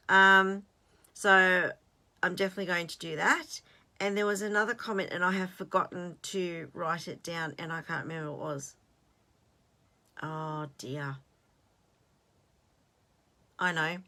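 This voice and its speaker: Chatty Australian woman, bright voice. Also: fairly low pitch. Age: 50s. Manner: chatty, rambling, self-deprecating with fillers and restarts. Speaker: host. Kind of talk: casual chat.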